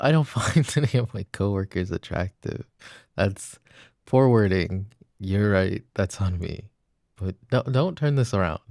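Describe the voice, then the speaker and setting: A jealous, insecure boyfriend, played by a man, talks in a very low voice with plenty of fry. The recording is dry and intimate, like ASMR roleplay. He pleads earnestly and haltingly, devoted beneath the doubt. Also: dry recording. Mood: flustered, conciliatory. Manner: hesitant, earnest, soft-spoken pleading. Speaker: roleplay audio voice actor.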